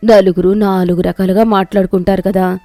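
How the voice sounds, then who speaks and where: A woman's voice: mid-range voice, level tone, brisk, warm tone, dry recording, soft background music, lightly acted. A woman voicing a doubtful older village mother-in-law in a cartoon tale, fretful and questioning.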